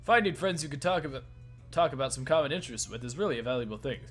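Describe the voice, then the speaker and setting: A man's voice: medium pitch, very fast, soft background music. A man hosting a let's play, reading a visual novel's narration aloud and joking profanely with friends. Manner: laughs often, casual and profane between lines.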